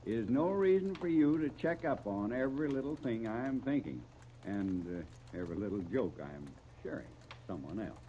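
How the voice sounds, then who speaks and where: An American actor playing an evasive, fond middle-aged uncle in a 1930s radio serial, on a muffled, noisy old recording. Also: male voice, medium pitch, very animated, normal speed, warm tone. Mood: blustery, indignant.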